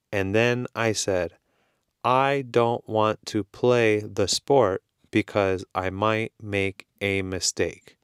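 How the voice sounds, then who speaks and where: A man with a Californian accent talks to English learners at a very slow, careful pace, with plain words and repeated points. His voice sits a little low and sounds smooth and clear. It is recorded close and dry, with no room sound. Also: no echo. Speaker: English teacher. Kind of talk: slow, simple explanation for learners.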